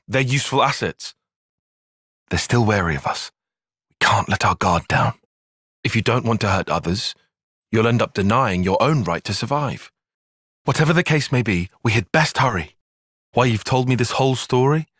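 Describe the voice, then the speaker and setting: A man's voice: mid-range voice, expressive, moderate pace, fairly bright tone, no echo, clean studio sound. A man playing an earnest, analytical young soldier in a fantasy video game, speaking with precise, formal phrasing. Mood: alarmed. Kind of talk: video game cutscene acting.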